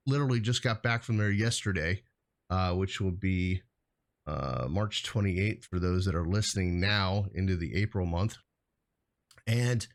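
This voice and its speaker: A man's voice: on the low side, fairly bright tone. A man co-hosting a show in a casual, rambling chat, often correcting himself as he goes. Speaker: co-host of a hazardous materials show.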